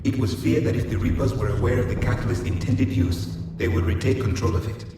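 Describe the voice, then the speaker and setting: An actor playing an ancient alien artificial intelligence in a video game, speaking in a formal, measured, detached manner. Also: male voice, medium pitch, creaky, lightly acted. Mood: impassive. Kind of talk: scripted video game dialogue. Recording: echoing, distant, noisy game audio capture.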